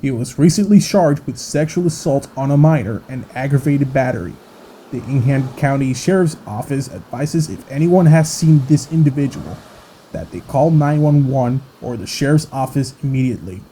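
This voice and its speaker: A man playing a TV news reporter, giving a report in an even, formal manner. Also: mid-range voice, moderate pace, a little hiss or hum, close to an everyday voice. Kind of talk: staged news report sign-off.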